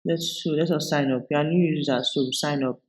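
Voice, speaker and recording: A man from Nigeria with a Nigerian English accent, hosting a tutorial video and explaining each step in a relaxed way, with frequent restarts. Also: a little high, a little fast, rough recording. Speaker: video host. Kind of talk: screen-recorded tutorial walkthrough.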